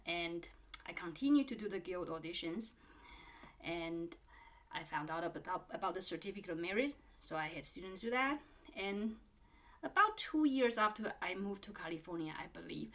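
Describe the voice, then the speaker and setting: A middle-aged woman, a piano teacher, talks casually to her viewers. Her manner is friendly and explanatory, and she fills her pauses with "um" and "uh" as she goes. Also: mid-range voice, a bit bright. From born in Taiwan, grew up in New Zealand. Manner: friendly and explanatory, with frequent fillers. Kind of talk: casual talk to camera answering a viewer question.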